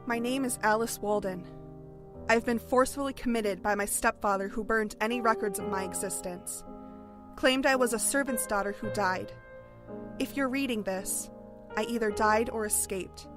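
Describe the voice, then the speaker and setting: A woman playing a tense young woman in a horror audio drama, speaking gravely and with measure. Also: fairly high pitch, moderate pace, over music, lightly acted. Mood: grave, uneasy. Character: tense young woman reading a grim journal.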